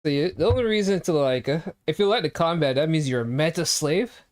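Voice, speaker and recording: A man's voice: on the high side, expressive, a little fast. A young man streaming a game, chatting casually and teasing his chat with jokes. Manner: joking, teasing, reacting to chat.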